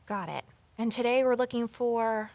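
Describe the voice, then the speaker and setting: An actress playing a curious, eager former journalist in an audio drama, with a conversational, inquisitive delivery. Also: female voice, fairly high pitch, normal speed, darkish tone, dry recording, close to an everyday voice. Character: curious female ex-journalist, eager new conservation worker. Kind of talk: audio drama dialogue and first-person narration. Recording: clear background noise, outdoor ambience.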